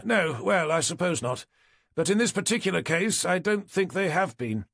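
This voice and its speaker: A man's voice: sits high, lively intonation, normal speed, vocal fry, a bit bright, no room sound, clean studio sound, voice pushed up, full character voice. A man voicing a fussy, exasperated police doctor in an audiobook, with a British accent. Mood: hesitant.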